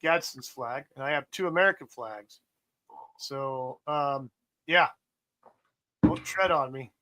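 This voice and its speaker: A man's voice: medium pitch, slow, pushed and bright, rough recording. A man hosting a casual political chat, ranting and swearing emphatically. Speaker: podcast host.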